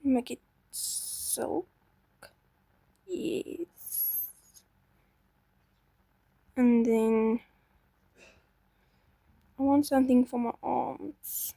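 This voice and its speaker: A woman's voice: sits high, steady tone, drawn-out words, gravelly, pauses often, dark tone, dry recording. A young woman chatting casually to herself while playing a game, self-doubting and talkative. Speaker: gaming channel host. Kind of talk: casual gameplay commentary.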